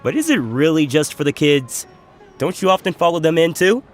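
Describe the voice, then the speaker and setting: A man's voice: a little high, very animated, brisk. A man playing a generous, hearty food seller in an anime video game, with a teasing manner. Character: jovial, generous male food vendor. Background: music.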